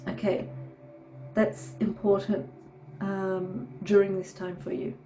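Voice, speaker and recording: Slow hoarse woman reading tarot. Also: mid-range voice, flat delivery, dark tone, soft background music, low-quality recording. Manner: halting, many fillers and "okay"s. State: hoarse from a sore throat. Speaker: tarot reader.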